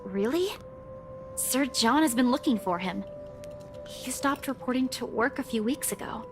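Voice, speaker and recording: A woman's voice: on the high side, expressive, a little slow, music in the background. An actress playing a nervous, hesitant young female office worker. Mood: anxious. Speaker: company employee.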